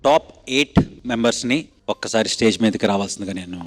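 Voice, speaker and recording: A man's voice: fairly low pitch, quick pace. A man speaking as a dance show judge, announcing competition rules on stage with music behind him. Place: TV studio stage.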